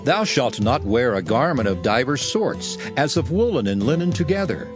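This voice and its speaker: A man's voice: mid-range voice, sing-song, normal speed, music in the background. A man narrating scripture in a solemn, declamatory style, as in a dramatized Bible reading.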